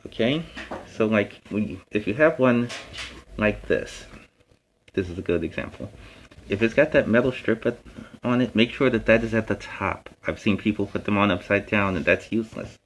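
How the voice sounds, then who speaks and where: A man's voice: lowish voice, normal speed, poor audio. A man hosting a stream, talking informally to viewers in an earnest, plain-spoken, emphatic way. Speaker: host of a costumed story time stream. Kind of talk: informal public safety talk to viewers. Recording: clear steady background noise.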